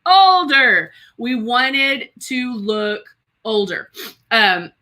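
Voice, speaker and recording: A woman of about fifty with a slightly high, very bright voice hosts a live beauty tutorial. She chats casually and exclaims freely, speaking directly to her viewers as she works. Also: big pitch swings, drawn-out words. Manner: chatty and exclamatory, addressing viewers as girl and guys. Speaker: host of a live makeup tutorial stream. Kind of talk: casual livestream chat while demonstrating makeup and reading viewer comments.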